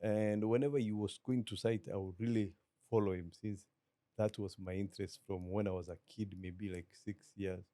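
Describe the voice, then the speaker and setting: A middle-aged Kenyan man with a Kenyan accent, a business guest talking in a measured, reflective way and slipping into Swahili. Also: on the low side, steady tone, warm tone, no room sound. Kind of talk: relaxed interview talk.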